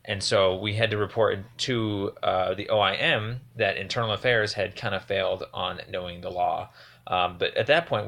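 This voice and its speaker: A man on a phone call, giving a rambling, earnest and halting account of his complaint as a caller. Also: lowish voice, gravelly, bright, forceful tone. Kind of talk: phone conversation, explanatory account.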